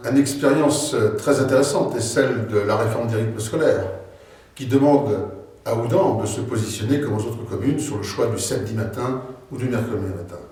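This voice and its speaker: A middle-aged or older man gives a formal, deliberate campaign address as a local politician, with hesitant pauses. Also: mid-range voice, a little mellow. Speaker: local politician, incumbent mayor seeking re-election. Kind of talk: campaign address, semi-prepared speech. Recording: very echoey room, distant microphone.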